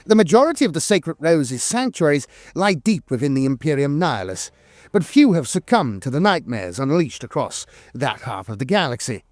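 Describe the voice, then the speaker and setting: A man reading scripted lore narration in a measured, formal way, with the occasional hesitation. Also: on the high side, sing-song, no room sound. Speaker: channel narrator.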